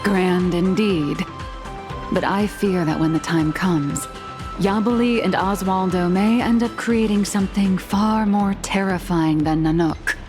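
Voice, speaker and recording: Wry, sceptical woman voiced by an actress, mid-pitched. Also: unhurried, lightly acted. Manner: wry, pointed, articulate. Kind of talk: video game dialogue. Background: game music.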